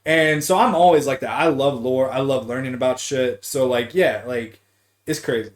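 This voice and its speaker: A man's voice: medium pitch, moderate pace. A man hosting a casual gaming podcast, chatting in a slangy, profane, teasing way. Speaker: podcast host.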